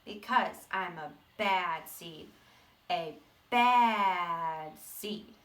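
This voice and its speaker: A woman voicing a mischievous little male sunflower seed confessing in a dramatic, emphatic storybook read-aloud with drawn-out words. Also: on the low side, a bit bright, voice pushed down. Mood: boastful, naughty. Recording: roomy echo, distant microphone.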